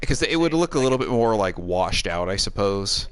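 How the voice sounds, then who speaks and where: A man's voice: fairly low pitch, very animated, a little fast, clear, resonant tone. A man chatting casually on a game stream, hesitant and meandering in how he puts his thoughts. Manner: hesitant, meandering, hedging phrases.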